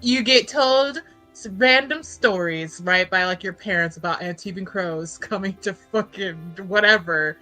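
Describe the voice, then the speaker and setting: A woman's voice: mid-range voice, unhurried, pushed and bright, soft background music, low-quality recording. A woman playing a tabletop roleplaying game, chatting in an informal, sweary way with the game master. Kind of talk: casual game-table chat.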